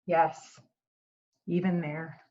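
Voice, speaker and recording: Woman acting reassuring mother, children's storybook, slow soothing delivery, dull lo-fi sound. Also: low-pitched, flat delivery, pitched below the performer's own voice. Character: loving, reassuring mother of young twins. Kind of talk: children's storybook read-aloud.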